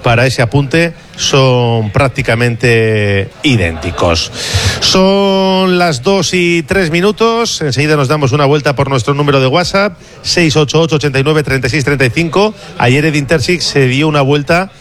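A man's voice: fairly high pitch, normal speed. A man hosting a sports radio show, talking steadily with a few fillers. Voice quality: conversational, steady delivery with fillers.

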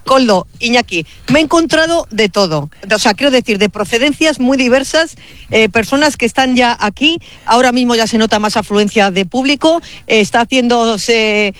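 A man working as a radio reporter, chatty and joking as he fires quick questions at passers-by at an outdoor festival on a handheld mic. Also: very high voice, fairly bright tone, dry recording. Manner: chatty, jokey, rapid-fire questions. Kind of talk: live on-the-street radio interviews. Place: outdoors at a crowded pilgrimage festival. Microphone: handheld microphone.

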